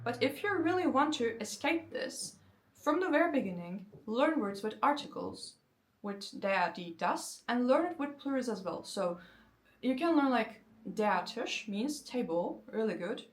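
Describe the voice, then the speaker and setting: Young Turkish woman explaining grammar. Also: a little high. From Turkey. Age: 20s. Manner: lively, conversational, slows down to spell out example sentences. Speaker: language-learning YouTuber. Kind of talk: casual explanatory talk to camera.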